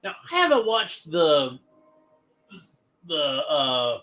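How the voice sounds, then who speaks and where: A man hosting a watchalong, giving casual fan commentary in a halting, conversational way, thinking aloud as he goes. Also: on the high side, big pitch swings, pushed and bright. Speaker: host of a cartoon watchalong commentary. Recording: dull lo-fi home recording, background noise.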